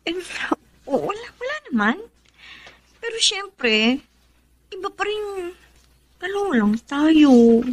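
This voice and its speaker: A sweet young Filipino wife in a radio drama. Her high voice moves expressively and unhurriedly. She is hesitant and deferential, feeling her way through each thought. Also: very animated, slow, long pauses, a little hiss or hum, heavily acted. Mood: hesitant, coy.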